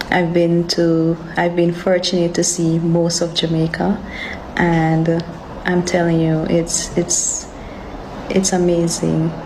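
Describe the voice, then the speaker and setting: A woman from Guyana talks slowly and unhurriedly to camera, her voice sitting a little low, with many pauses to gather her thoughts. Clear background noise sits under her. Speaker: vlogger. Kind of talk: casual talk to camera.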